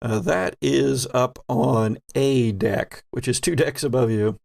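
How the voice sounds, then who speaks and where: A man's voice: medium pitch, slow, gravelly, a little mellow, dry recording. A man improvising narration as game master of a tabletop horror game.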